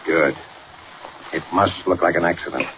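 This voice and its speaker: An actor playing a cold, scheming saboteur boss, a villain in a 1940s radio serial. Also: male voice, low voice, normal speed, natural voice. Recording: muffled, noisy 1940s radio recording.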